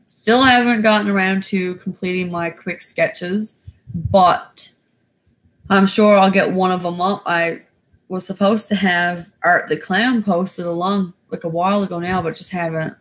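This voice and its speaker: A woman with a raspy voice chatting casually on a livestream, rambling with many fillers. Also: fairly low pitch, steady tone, measured pace. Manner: rambling, loose, lots of fillers. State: winded after exercising. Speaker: YouTuber going live.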